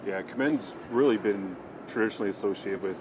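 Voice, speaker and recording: A man's voice: lowish voice, brisk. A man giving earnest corporate sales talk as a company representative, in an informal business interview at a trade show. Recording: clear show-floor background noise.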